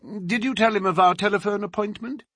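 A man's voice: high voice, brisk, a bit bright, dry recording, voice pushed up. A man voicing a cool adult male detective who asks precise questions. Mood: probing. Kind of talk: audiobook character reading.

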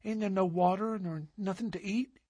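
A small girl of five, earnest and innocent, speaking in a hushed, serious lilt with a husky edge, as a man reading a story puts her on. She sounds far higher than an adult, in a dry close recording. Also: fairly even intonation, normal speed, no room sound. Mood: grave. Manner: soft, hushed, grave childish lilt.

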